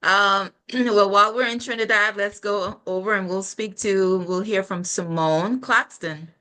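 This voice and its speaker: A woman's voice: mid-range voice, fairly even intonation, unhurried, sharp tone. A middle-aged woman hosting an online panel show, speaking reflectively about health in spiritual terms. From Caribbean. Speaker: host.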